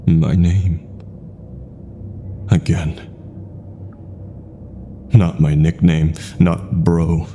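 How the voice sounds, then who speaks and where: Man, horror story narration, extremely low pitch, dark mellow tone, deliberate pauses, faint background noise. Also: level tone.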